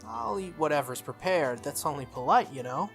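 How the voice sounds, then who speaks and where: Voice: medium pitch, lively intonation, moderate pace, vocal fry. A game streamer voicing a proud, prickly teenage girl from a visual novel with a clipped, scolding manner. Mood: haughty scolding. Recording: music under the voice.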